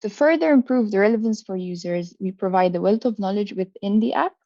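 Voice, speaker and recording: A woman delivers a rehearsed startup pitch in a mid-pitched, mellow voice with noticeable fry. The online call audio is dull and dry. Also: a little fast, no echo. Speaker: startup co-founder.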